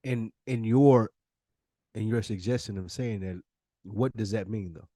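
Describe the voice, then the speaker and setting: A man's voice: lowish voice, measured pace, warm tone, dry recording, clean studio sound. A man co-hosting a podcast, talking casually with plenty of slang in a blunt, questioning manner. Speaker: podcast co-host.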